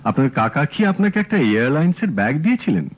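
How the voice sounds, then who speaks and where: A brisk young detective on old radio. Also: male voice, mid-range voice, very animated. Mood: calm, probing. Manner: brisk, probing questions. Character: sharp young male private detective. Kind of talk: 1970s radio drama acting. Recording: dull old radio broadcast.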